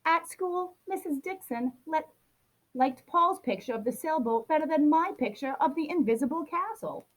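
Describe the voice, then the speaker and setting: A woman's voice: sits high, expressive, normal speed, low-quality recording. A woman reading a children's picture book aloud, expressively acting out a grumpy child's complaints. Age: adult. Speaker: storybook reader.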